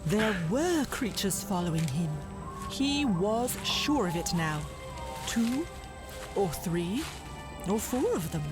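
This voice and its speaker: A woman narrates a classic-style storybook audio drama. Her delivery is measured and dramatic, with a mid-range pitch, and music plays clearly underneath. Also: big pitch swings.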